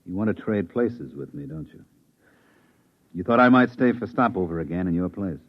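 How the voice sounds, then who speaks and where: An actor playing a seasoned, stern and weary expedition pilot in a 1950s radio drama. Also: male voice, sits low, lively intonation, moderate pace. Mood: resigned, blunt. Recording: dull, lo-fi 1950s radio recording.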